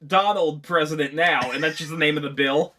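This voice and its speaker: A man's voice: on the high side, brisk, a bit bright. A man co-hosting a podcast in casual comedic chat, riffing in a silly, crude way. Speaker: podcast co-host.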